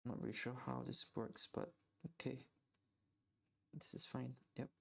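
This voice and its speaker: A man's voice: mid-range voice, fairly even intonation, measured pace, creaky, fairly bright tone, slightly rough. A young man streaming while he draws, chatting casually and rambling, often repeating words as he thinks. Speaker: artist streaming while drawing. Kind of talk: casual live-stream chat.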